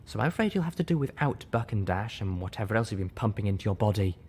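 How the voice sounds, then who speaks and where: A man voicing a female unicorn pony medic, prim, caring and gently scolding, in an audiobook story. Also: on the low side, big pitch swings, fast talker, breathy edge, full character voice. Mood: stern caution.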